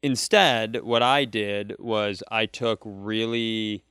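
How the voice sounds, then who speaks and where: Male comedy podcast co-host, smooth clear voice, dry rambling delivery, close dry sound. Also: on the low side, steady tone, takes it slowly, pushed and bright, dry recording. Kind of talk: casual comedic banter.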